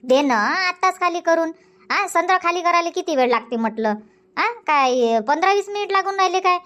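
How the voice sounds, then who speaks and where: A woman's voice: extremely high pitch, lively intonation, a little fast, a bit bright. A woman voicing a bossy, chatty village farm woman in a cartoon comedy, insistent and scolding, with a Varhadi Marathi accent from Vidarbha. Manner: insistent, scolding, rhetorical questioning.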